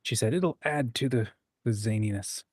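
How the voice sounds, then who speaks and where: A man with a mid-pitched voice hosts a relaxed livestream chat. He talks informally and rambles along with enthusiasm, and the sound is dry, with very little room in it. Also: moderate pace, slightly rough, dry recording. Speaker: host of a writing community livestream.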